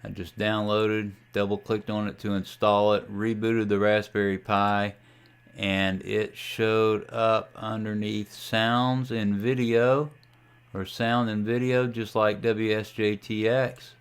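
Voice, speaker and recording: A man giving a casual, folksy tutorial as a ham radio hobbyist, talking through a software demo in an unhurried way. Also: on the low side, little pitch movement, clear, resonant tone. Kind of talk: casual screen-share tutorial.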